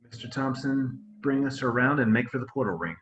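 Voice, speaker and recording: A man's voice: mid-range voice, animated, a little fast, fairly bright tone, reverberant, lo-fi sound, slight background noise, lightly acted. A man reading the part of a commanding starship captain, giving clipped orders. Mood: steady. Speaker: actor in a table read.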